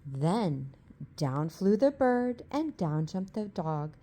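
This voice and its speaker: A woman reads a rhyming bedtime story in a calm, lulling rhythm. Her voice is low-pitched and mellow, with an unhurried pace. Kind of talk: bedtime story read-aloud, ASMR.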